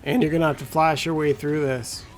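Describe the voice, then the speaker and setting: A man with a scratchy, gravelly voice giving laid-back, rambling commentary as a gameplay guide. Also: fairly high pitch, fairly even intonation, a little fast. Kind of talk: casual walkthrough commentary.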